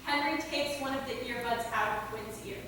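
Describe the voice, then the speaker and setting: A woman's voice: fairly high pitch, normal speed, pushed and bright. A woman narrator reading scripted stage directions at a staged reading. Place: theater, recorded from a distance. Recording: echoey hall, far mic, clear noise.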